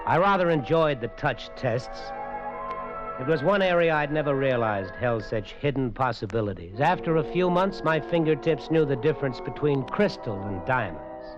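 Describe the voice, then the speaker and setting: A man's voice: moderate pace, close to an everyday voice. An actor playing a thoughtful man with trained super senses, narrating and talking in a 1950s radio drama. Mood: reflective narration. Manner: earnest, thoughtful, lively in pitch. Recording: dull old radio broadcast with faint music.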